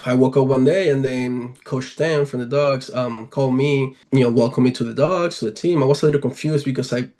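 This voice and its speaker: A man's voice: mid-range voice, steady tone, fairly quick, few pauses, warm tone. A man in his twenties from Venezuela with a Latin American accent, talking casually as an athlete being interviewed. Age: 20s. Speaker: professional baseball player, interview guest.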